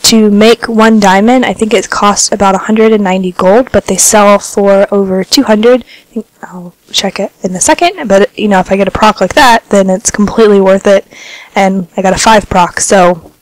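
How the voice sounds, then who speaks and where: A woman with a slight cold giving relaxed, chatty commentary over her gameplay, sounding a little congested. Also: medium pitch, moderate pace, no room sound, rough recording. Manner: relaxed, chatty, filler-heavy. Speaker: gaming vlogger.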